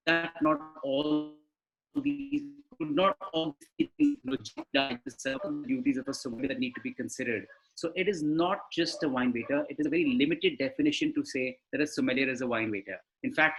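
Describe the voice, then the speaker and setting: A man's voice: mid-range voice, a bit bright, low-quality recording. Man giving a webinar talk. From India. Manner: conversational, explanatory, asks rhetorical questions. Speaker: webinar panelist. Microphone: video call.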